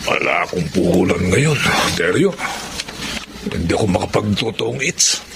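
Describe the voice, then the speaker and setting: A middle-aged man with a Filipino accent playing a wheedling, opportunistic gambling crony in a TV drama, chatty and animated. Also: deep voice, moderate pace, loud music behind the voice. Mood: glum. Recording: clearly noisy background.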